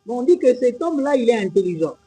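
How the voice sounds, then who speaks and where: TV host, man, probing and insistent, husky high voice. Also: big pitch swings, quick pace, warm tone. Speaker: TV host, interviewer. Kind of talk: lively interview questions.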